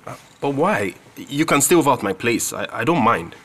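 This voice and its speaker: A man's voice: mid-range voice, big pitch swings, normal speed, fairly bright tone. An actor with a Nigerian accent playing an anxious, reproachful young male student in a radio drama. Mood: evasive, reassuring.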